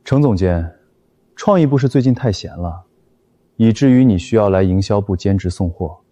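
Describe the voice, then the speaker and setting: Man acting a cold, low-voiced young boss. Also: animated, moderate pace, dark tone, no room sound, natural voice. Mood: cold sarcasm. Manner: stern, formal, cutting. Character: cold, possessive young male boss, icy and sarcastic. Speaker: company boss. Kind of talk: dubbed animated romance short drama.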